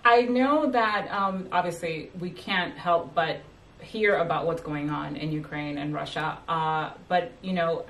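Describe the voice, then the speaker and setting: A woman hosting an interview, speaking in a halting way with many fillers and restarts. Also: sits low, fairly bright tone, reverberant, distant mic, a little hiss or hum. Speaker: host and magazine editor. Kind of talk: conversational interview.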